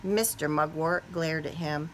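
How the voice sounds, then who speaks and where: A woman reading a picture book aloud to young children expressively, pausing to put questions to them. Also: deep voice, a little hiss or hum. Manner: animated, asks the young listeners questions.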